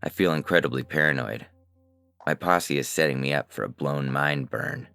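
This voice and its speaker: A man's voice: extremely low pitch, normal speed, creaky, pushed and bright, smooth, no echo. A man narrating a scripted first-person science fiction story as its reader. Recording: music bed under the voice. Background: music.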